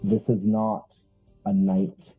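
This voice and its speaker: A man voicing a solemn, commanding heir to a dark kingdom in a tabletop game, grave, measured and ceremonial, on muffled stream audio. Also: lowish voice, flat delivery, husky, soft background music, full character voice.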